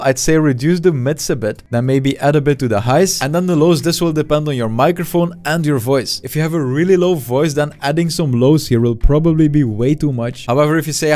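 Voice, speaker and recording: A young man hosting a software tutorial, talking into a close boom microphone in a small home streaming room, chatty and upbeat, with casual fillers. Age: young adult. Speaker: tech tutorial host. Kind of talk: screen-recorded software tutorial. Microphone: studio mic on boom arm, close.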